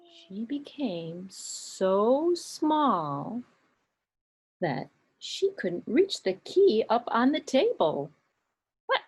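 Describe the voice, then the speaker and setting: A woman's voice: fairly high pitch, husky, low-quality recording. A woman, a children's librarian, telling a story with puppets for kids. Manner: animated, slow and expressive storytelling.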